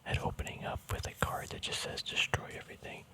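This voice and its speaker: Guy doing asmr, all soft whispering, laid back and chatty like showing off a hobby. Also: fast talker. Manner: relaxed, informal, chatty. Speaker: ASMR video host. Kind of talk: whispered ASMR, casual card deck walkthrough.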